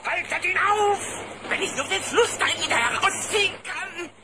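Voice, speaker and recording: An actor playing a pompous, bullying villain duke in a children's cartoon audio drama, with a harsh booming voice. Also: male voice, lively intonation, normal speed, heavy background noise. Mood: menacing. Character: blustering villainous duke, scheming and domineering.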